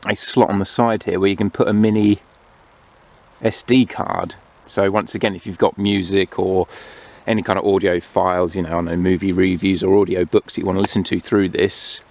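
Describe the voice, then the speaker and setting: Low-pitched man reviewing gear. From United Kingdom. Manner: unhurried, explanatory. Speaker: product reviewer. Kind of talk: casual hands-on product review. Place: outdoors among greenery. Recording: clear outdoor background noise.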